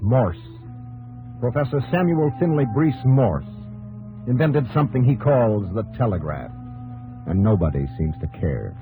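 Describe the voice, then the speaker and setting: A man's voice: medium pitch, big pitch swings, unhurried. A man narrating a 1950s radio drama in clipped, wry, dramatic phrases. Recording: dull old radio broadcast, music behind.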